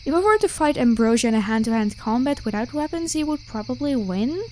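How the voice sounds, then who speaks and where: A woman voicing a carefree, protective vampire warrior of high rank in a casual, reassuring roleplay style. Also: expressive, brisk, darkish tone, close to an everyday voice. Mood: relaxed, nostalgic. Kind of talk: ASMR roleplay acting. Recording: clear background hiss with night crickets. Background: crickets and insect ambience.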